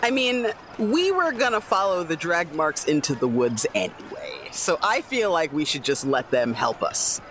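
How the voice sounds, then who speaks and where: A man with a slightly high voice plays in a tabletop game. He talks casually, moving between describing his character and chatting with the group. Faint noise sits behind the voice. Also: sing-song, moderate pace, few pauses, fairly bright tone.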